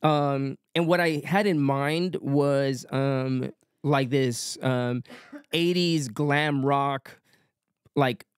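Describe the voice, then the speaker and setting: A young man talking casually as a musician guest on a podcast, relaxed, with lots of fillers. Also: medium pitch, very slow, smooth, no echo, clean studio sound. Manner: relaxed, many fillers, light-voiced.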